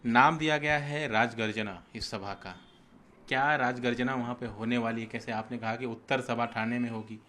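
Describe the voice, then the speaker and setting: Male news reporter, bright forceful voice, mid pitch, probing formal questions, faint background noise. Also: steady tone, moderate pace. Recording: noisy location sound, background ambience.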